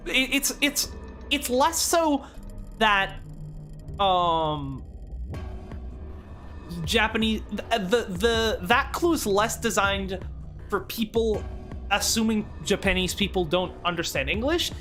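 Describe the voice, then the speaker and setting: A man's voice: sits high, animated, takes it slowly, pauses often, sharp tone, soft background music. A man hosting a let's play, talking casually with frequent swearing, stammering restarts and laughter. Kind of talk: casual gameplay commentary.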